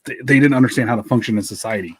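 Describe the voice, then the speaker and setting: A man's voice: mid-range voice, very fast, breathy edge, no echo. A man with an American accent co-hosting a true-crime podcast in a casual, jokey, informal way. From United States. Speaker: podcast co-host.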